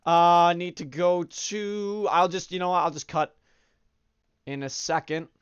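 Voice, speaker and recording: A young man with a slightly high voice talks through a game in a chatty, upbeat way, full of fillers and asides. His nose sounds stuffed up. The recording is dry and a bit dull, close to the mic. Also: steady tone, unhurried, smooth, dry recording. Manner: upbeat, chatty, shout-outs with many fillers. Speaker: gaming channel host. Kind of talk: casual let's-play commentary.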